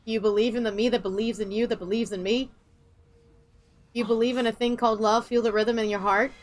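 A woman's voice: a little high, steady tone, quick pace. A woman streaming a game and ranting sarcastically with jokes about the plot. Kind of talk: sarcastic gameplay commentary.

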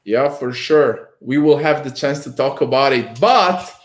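A man's voice: medium pitch, lively intonation. Italian man hosting with a roomy echo. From Italy. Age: young adult. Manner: measured, slow questions with many fillers. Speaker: host, performance nutritionist. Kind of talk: video call interview. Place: home room with bookshelves. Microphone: video call. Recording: echoey room on a video call.

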